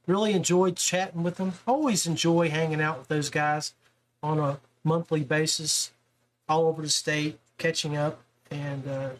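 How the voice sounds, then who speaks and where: A man with an American accent chatting casually as the host of a podcast. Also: a little high. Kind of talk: casual chat.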